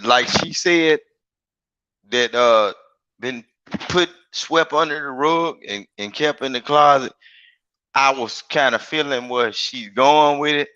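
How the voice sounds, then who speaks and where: A big-voiced man talks emphatically in a casual online chat. His tone is bright and forceful, the sound is dry and a little dull, and he circles back and repeats his points. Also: mid-range voice, slow, smooth, dry recording. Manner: rambling, emphatic, repeats himself. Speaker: guest. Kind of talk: casual live-stream chat.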